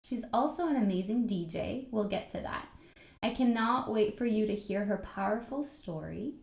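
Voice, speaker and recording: A woman in her thirties hosting an interview podcast, upbeat when introducing a guest and gentle and supportive when listening. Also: mid-range voice, animated, normal speed, gravelly, roomy sound. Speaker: podcast host.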